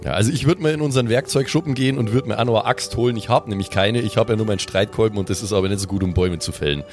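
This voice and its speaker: Low-voiced man in casual tabletop roleplay. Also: rapid-fire. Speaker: player in an improvised pen-and-paper audio play. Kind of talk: casual improvised roleplay chat. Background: background music.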